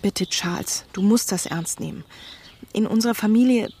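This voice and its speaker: A woman's voice: mid-range voice, normal speed, mellow, slightly rough. A woman playing a worried, earnest young fiancée in a radio play, confiding in her manner. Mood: anxious.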